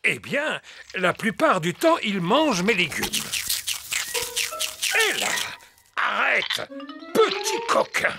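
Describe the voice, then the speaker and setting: A man's voice: high voice, huge pitch swings, takes it slowly, creaky, long pauses, very noisy, exaggerated voice. A man voicing a jovial old grandfather pig in a cartoon, theatrical and exclamatory. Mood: mock outrage. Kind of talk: cartoon dub acting.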